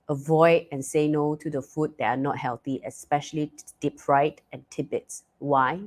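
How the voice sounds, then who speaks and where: A woman hosting a live talk stream, hoarse and stuffy-nosed, chatty with many fillers and restarts. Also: sits low, darkish tone. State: hoarse, losing her voice; stuffy nose. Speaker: live talk show host.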